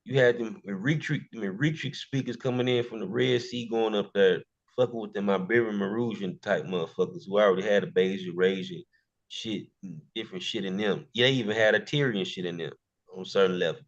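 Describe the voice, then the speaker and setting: Casual podcast guest, male, gravelly voice, heavy slang and cursing, muffled lo-fi sound. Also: fairly low pitch, fairly quick. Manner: slangy, profane street talk.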